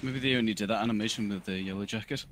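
A man's voice: fairly low pitch, rapid-fire, background noise. A man giving casual, mocking, sweary commentary over video game cutscenes.

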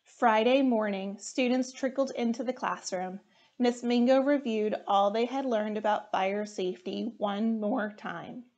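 Female storybook reader, thirties, higher-pitched, very measured pace, expressive delivery. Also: dull audio. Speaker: firefighter reading storybooks aloud. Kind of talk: children's storytime read-aloud.

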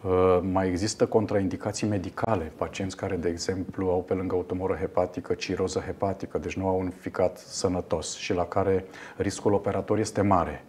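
A man in his fifties, a radiologist guest in a TV studio interview, explaining a procedure in a measured way with frequent fillers. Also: lowish voice, darkish tone, slightly rough. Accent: Romanian. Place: television studio.

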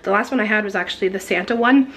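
A young woman in her twenties, hoarse and losing her voice, chatting casually to a handheld camera in her kitchen with lots of giggles and fillers. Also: mid-range voice, fast talker, fairly bright tone, a little hiss or hum. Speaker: beauty vlogger. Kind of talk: casual vlog chat. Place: home kitchen. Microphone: handheld camera at arm's length.

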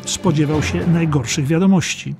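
A man's voice: a little high, moderate pace, a little mellow. A man giving scripted narration of a historical documentary audiobook. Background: music.

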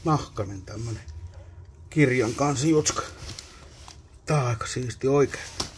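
A man at an ordinary mid pitch mutters and wonders aloud as he picks through an abandoned wooden cabin. His loose, casual commentary comes through a handheld camera mic. A faint hiss sits under the voice. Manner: muttering, wondering aloud while poking around. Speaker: abandoned-places explorer. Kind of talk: casual exploration vlog commentary.

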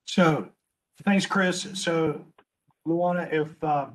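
A man presenting on a webinar as a transportation planner, losing his voice and talking haltingly, restarting his words. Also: on the high side, steady tone, slight background noise, rough recording. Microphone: video call.

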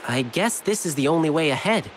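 A man's voice: on the high side, expressive, fairly quick, slight background noise, natural voice. A man playing an earnest, protective young hero in a video game, with a polite, reassuring way of talking. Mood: curious, confident. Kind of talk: scripted video game voice acting. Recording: game music under the voice. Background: background music.

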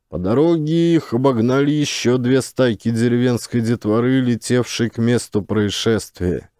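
Male audiobook narrator: mid-pitched, relaxed tone, scripted storytelling at an unhurried pace. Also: no echo, studio quality.

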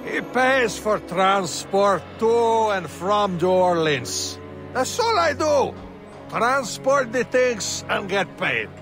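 A video game sea captain, leering and erratic. His speech rambles and drags, riding high in pitch, with music behind him. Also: very animated, heavily acted. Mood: leering, rambling. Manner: rambling, drawn-out, swooping delivery. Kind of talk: video game cutscene acting.

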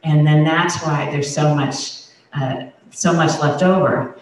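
A woman's voice: low voice, fairly even intonation, takes it slowly, darkish tone, distant mic, a little hiss or hum. Woman preaching in an echoey sanctuary. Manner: conversational preaching with many restarts and fillers; gestures broadly while speaking. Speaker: pastor. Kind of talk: sermon. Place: large wood-paneled church sanctuary. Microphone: handheld microphone. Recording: echoey church sanctuary, voice from afar.

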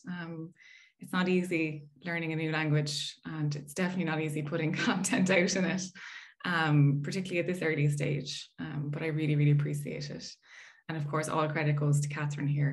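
A woman in her thirties from Ireland with an Irish accent, giving a friendly, chatty research talk over a video call with frequent fillers. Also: sits low. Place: indoor room at home.

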